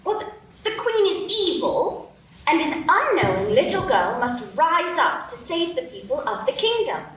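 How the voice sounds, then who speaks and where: A woman playing a patient but weary bookish older sister in a live radio play, measured and instructive, recorded in an echoey space from a distance. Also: extremely high pitch, big pitch swings, fairly bright tone, heavily acted. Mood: patient, dismissive. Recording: very echoey, distant stage pickup, faint noise.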